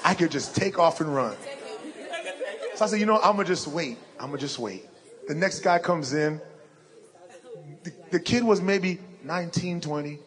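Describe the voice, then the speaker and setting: A young adult man with a deep voice, preaching a sermon and telling a personal story in an animated way, acting out the lines he quotes. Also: normal speed, long pauses, a faint crowd.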